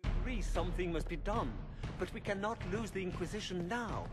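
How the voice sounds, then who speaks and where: An actor playing an insistent, formal political delegate in a fantasy game. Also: male voice, sits high, a little fast, music in the background, close to an everyday voice. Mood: urgent, insistent. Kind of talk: dramatic video game dialogue. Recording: loud game score under the voice.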